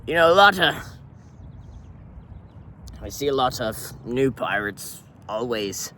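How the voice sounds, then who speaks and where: A man's voice: mid-range voice, sing-song, slow, pushed and bright, slight background noise, full character voice. A man doing a grubby, swaggering pirate. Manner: pirate slang, keeps saying "matey"; rambling, offhand rants. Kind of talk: comic character monologue.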